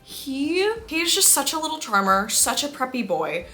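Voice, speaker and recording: Excitable woman book vlogger, bright voice, gushy n emphatic, just chatting to her viewers. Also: high voice, normal speed, soft background music. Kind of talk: casual chat to viewers.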